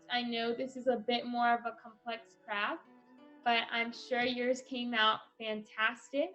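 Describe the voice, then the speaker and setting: A woman leads a children's craft lesson at a very unhurried pace, guiding each step with encouragement. Her voice sits a little high. The sound is somewhat dull, with music behind her. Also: bright, forceful tone. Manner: encouraging, instructional.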